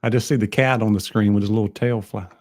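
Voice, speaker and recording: A man with a Southern American accent, chatting casually on a livestream in a calm, soothing way. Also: lowish voice, fast. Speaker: guest on a livestream. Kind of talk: casual chat.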